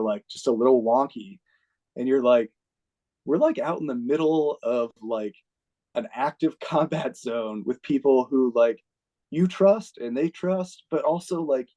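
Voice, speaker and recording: An American man, a retired army officer, telling stories as an interview guest in a chatty, laid-back way, full of "like" and quick laughs. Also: mid-range voice, mellow. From United States. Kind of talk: casual storytelling.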